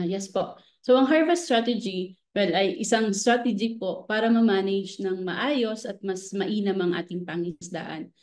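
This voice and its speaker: A woman's voice: medium pitch, darkish tone. A Filipino woman giving explanatory interview answers as a government fisheries professional, mixing in technical terms. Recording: roomy, echoing voice.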